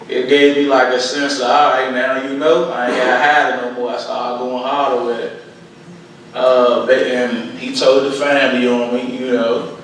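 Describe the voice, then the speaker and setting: A man's voice: mid-range voice, fairly bright tone. A man with a Washington DC accent speaking plainly and colloquially to an audience as a guest poet and speaker, recorded from a distance in a very echoey room with faint noise. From Washington DC, United States. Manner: plain-spoken, colloquial storytelling. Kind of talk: poem recital and personal testimony to an audience. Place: echoing venue at a conference.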